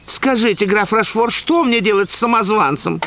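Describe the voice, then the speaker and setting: An actor dubbing a servile, excitable male town governor in a cartoon. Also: high voice, normal speed, noisy background. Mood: fawning. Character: fawning male town governor, eager to please. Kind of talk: cartoon dub acting.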